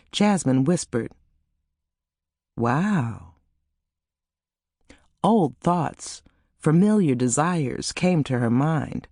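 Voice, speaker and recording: A woman narrating an audiobook novel in an expressive, measured storytelling manner. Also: low voice, no room sound, clean studio sound. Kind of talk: dramatic scripted fiction narration.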